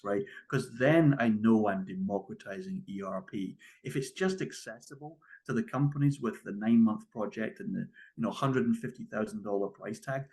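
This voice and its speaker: A man's voice: on the low side, darkish tone, poor audio. A man with a Scottish accent answering interview questions as a company founder, conversational and emphatic, leaning on key phrases. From Scotland. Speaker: guest, tech company founder and CEO.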